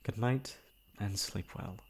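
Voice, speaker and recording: Guy doing a guilty tearful young prince for asmr roleplay, low gravelly voice, stiff formal wording, stumbles over words. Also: heavily acted. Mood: subdued, grateful. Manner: formal, halting, stammering. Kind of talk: dramatic ASMR roleplay monologue.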